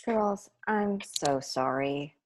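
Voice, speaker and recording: Woman playing an animated, caring sitcom mom. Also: sits low, extremely slow, noisy background, exaggerated voice. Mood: apologetic. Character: caring, apologetic mother of a teenage girl. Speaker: actress in a table read. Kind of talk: sitcom script table read.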